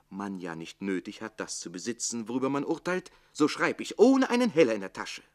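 An actor playing a jealous, quick-witted servant in a radio play, declaiming bitterly and rhetorically against the nobility. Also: male voice, mid-range voice, natural voice. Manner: declamatory, rhetorical, swinging between hushed and outraged. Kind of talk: theatrical German radio play monologue.

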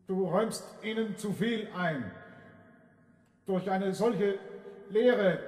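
An actor playing an aggrieved common man in a German radio play, protesting in halting phrases with repeated words. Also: sits high. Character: aggrieved common man questioning submission to tyrants. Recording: reverberant hall.

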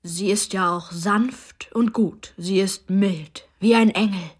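A woman's voice: mid-range voice, takes it slowly, breathy edge. A woman playing a pious, dreamy little girl in a German radio play, reciting in a reverent, sometimes whispering way. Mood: hushed, reverent.

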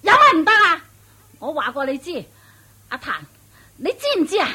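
A woman's voice: very high-pitched, big pitch swings, pushed and bright, full character voice. A woman playing a shrewish, domineering and vengeful witch in a radio drama, nagging and taunting in Hong Kong Cantonese. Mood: taunting, threatening. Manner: nagging, taunting, drawn-out emphatic delivery. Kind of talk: theatrical radio drama acting.

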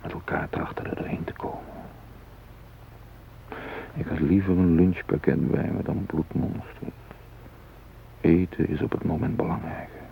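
A man's voice: low voice, fairly even intonation, moderate pace, gravelly, pauses often, narrow, tinny audio. An actor in a radio drama playing an anxious but resourceful hostage, muttering his thoughts in fragments. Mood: scheming. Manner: muttering, fragmented, self-steadying. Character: anxious, resourceful male hostage thinking aloud. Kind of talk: radio drama inner monologue. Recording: faintly noisy old radio recording.

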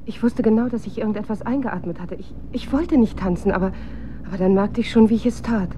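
A woman's voice: mid-range voice, a little fast, warm tone, lo-fi sound, a little hiss or hum, close to an everyday voice. A woman playing an anxious, questioning colleague of a scientist in a radio drama, uneasy and searching. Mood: shaken. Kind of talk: German radio drama acting.

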